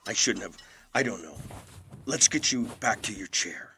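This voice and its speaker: An actor playing an aging movie star in an audio drama, a gentle, wry and understated older brother. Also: male voice, fairly low pitch, lively intonation, normal speed, background noise, lightly acted. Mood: gentle, apologetic.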